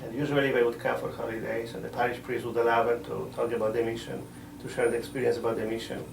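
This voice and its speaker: A middle-aged man, a missionary priest answering interview questions in a measured way, often pausing to find words. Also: mid-range voice, little pitch movement, creaky, noisy background. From Poland. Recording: roomy sound from a distant mic.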